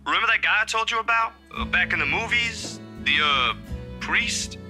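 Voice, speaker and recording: Friendly young guy in a visual novel, higher-pitched, lively, over music. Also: moderate pace. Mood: easygoing, reassuring. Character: friendly, easygoing young man on the phone. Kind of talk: visual novel game voice acting. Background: game music with guitar.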